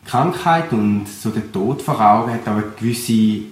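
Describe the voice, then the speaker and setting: A young man from Switzerland, a pastor with a Thurgau Swiss German accent, giving an earnest, reflective personal testimony at a measured pace. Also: fairly low pitch. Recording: roomy recording with faint background noise.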